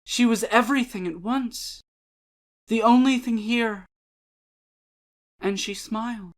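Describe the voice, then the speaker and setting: Slow, deliberate woman giving a dramatic reading. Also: fairly high pitch. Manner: measured, deliberate, theatrical delivery. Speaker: channel host and reader. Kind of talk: dramatic poetry and prose reading.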